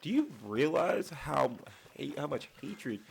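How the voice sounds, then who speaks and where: A man who is sick with a raspy voice, hosting his channel and ranting in a casual, profane way from his man cave at home. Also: fairly high pitch, sing-song, slow, a little hiss or hum. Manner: profane, heated rant. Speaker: channel host.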